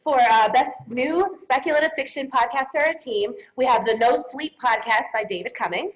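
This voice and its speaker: Female presenter, very high voice, playful and jokey, live stage mic with light noise. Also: moderate pace, poor audio. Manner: playful, joking. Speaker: award presenter. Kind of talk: casual live award presenting. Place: live awards ceremony stage.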